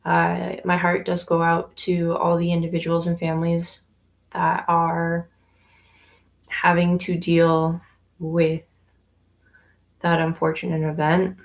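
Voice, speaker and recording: Slow, unhurried woman chatting while knitting. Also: lowish voice, vocal fry. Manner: relaxed, unhurried, with frequent ums and trailing pauses. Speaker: yarn shop owner. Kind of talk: casual livestream chat while knitting. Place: inside her yarn shop.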